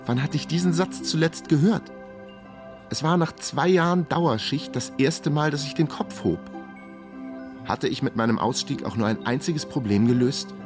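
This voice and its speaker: A man's voice: a little high, moderate pace, music in the background, natural voice. An actor playing a wry, broke ex-detective in his forties, narrating a radio crime drama in a laconic, self-mocking way. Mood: wary. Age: 40s.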